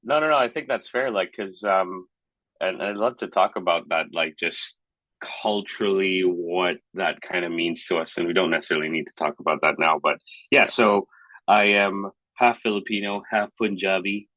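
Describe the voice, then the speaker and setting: A man's voice: low voice, normal speed, bright, forceful tone, dry recording, rough recording. A man in his thirties from Hong Kong, co-hosting a casual podcast chat in a rambling, hesitant, thoughtful way. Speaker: podcast co-host.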